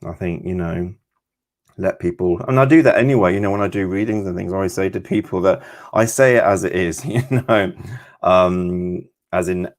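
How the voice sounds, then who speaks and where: A man who reads tarot, discussing the cards conversationally in a reflective, halting way. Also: sits low. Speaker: tarot reader.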